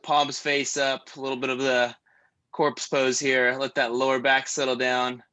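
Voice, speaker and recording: A man in his thirties leading a stretch class, joking between calm breathing cues. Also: medium pitch, a little slow. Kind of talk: guided stretching class with casual chat.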